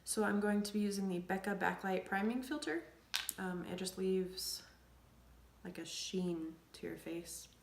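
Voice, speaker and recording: A young woman coming down with a cold, chatting through a makeup tutorial in a rambling way, often dropping to soft near-whispers. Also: mid-range voice, little pitch movement, a little slow, gravelly. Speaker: beauty vlogger. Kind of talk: casual makeup tutorial chat. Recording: echoey room.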